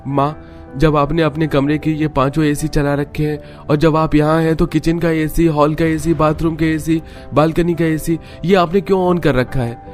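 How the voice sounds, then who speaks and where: A man's voice: a little high, flat delivery, normal speed, darkish tone, soft background music, lightly acted. A male actor playing an exasperated grown son of a rich household in a cartoon story. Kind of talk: Hindi cartoon story acting.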